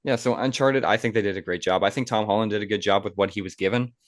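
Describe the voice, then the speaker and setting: Male podcast speaker, lowish voice, conversational and opinionated, close dry sound. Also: fast, no echo. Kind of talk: casual podcast chat, giving film opinions.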